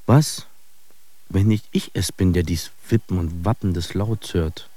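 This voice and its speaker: A man's voice: sits low, unhurried. An actor playing a brooding dreamer who questions his own senses in a poetic radio drama monologue. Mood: brooding, wondering. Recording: faint music under the voice. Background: faint music.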